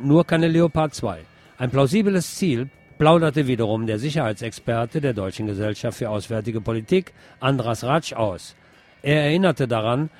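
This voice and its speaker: A man's voice: medium pitch, a little mellow. A man reads scripted news commentary aloud as a presenter. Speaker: podcast presenter reading press articles.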